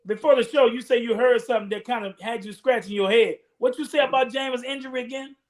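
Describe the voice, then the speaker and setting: A man with a strikingly high voice leads a loose sports chat in a playful, friendly way. He talks easily and kindly to his co-hosts. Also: steady tone, quick pace, crowd noise. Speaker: podcast host.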